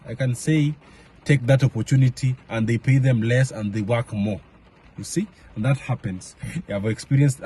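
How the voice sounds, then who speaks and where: A young man from Kenya talking casually to camera in a vlog, halting with many fillers and restarts. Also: medium pitch, mellow, slightly rough. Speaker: vlogger. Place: home in Madrid area.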